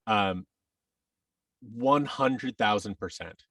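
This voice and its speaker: A man with a fairly low voice speaks as an interview guest, a little away from the microphone. His delivery is casual and rambling, with emphatic points and little acted-out quotes along the way. The room adds very little echo. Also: drawn-out words, pushed and bright, far from the mic. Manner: rambling, emphatic, often acts out hypothetical quotes. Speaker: podcast guest, actor. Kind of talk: casual interview talk giving advice.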